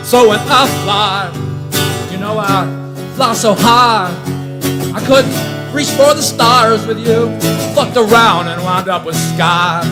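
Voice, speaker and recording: High-voiced man singing over guitar. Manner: drawling casual singing with guitar. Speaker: open mic performer. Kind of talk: singing an original song. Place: coffee shop open mic stage.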